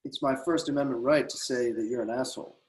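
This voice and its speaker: A man's voice: medium pitch, quick pace, darkish tone, close to an everyday voice, rough recording. A man voicing a rowdy, defiant man who taunts police officers in a script reading. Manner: mocking, belligerent taunts. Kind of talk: readers theatre script reading.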